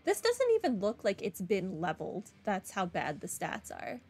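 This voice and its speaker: A woman's voice: medium pitch, wildly swooping pitch, normal speed, darkish tone, husky. A woman giving casual playthrough commentary as a game streamer, with dry, muttered asides. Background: game music.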